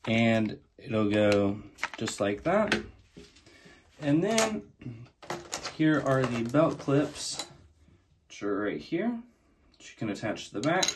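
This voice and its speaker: A man's voice: medium pitch, big pitch swings, slow pace, pauses often, background noise. A man hosting a casual product unboxing, explaining things plainly, step by step. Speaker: unboxing video host.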